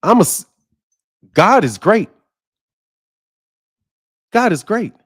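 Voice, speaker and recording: A man's voice: high-pitched, big pitch swings, takes it slowly, slightly rough. A man in his mid-fifties hosting a boxing channel, talking bluntly and heatedly to his viewers and laughing often. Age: 50s. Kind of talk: live commentary to viewers.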